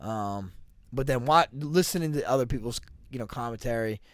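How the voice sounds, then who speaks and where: A man's voice: mid-range voice, animated, normal speed, no room sound. Rambling, profane man near forty. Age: 30s. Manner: profane, rambling, restarts often. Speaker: podcast co-host, fighter. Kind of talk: casual chat.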